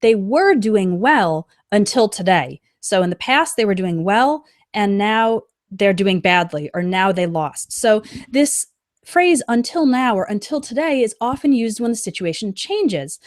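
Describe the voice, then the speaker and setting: A woman teaches a live English lesson, slowing down to model example sentences clearly. Her voice sits in an ordinary middle pitch. It is recorded close on the mic, with a dry sound and no room echo. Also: lively intonation.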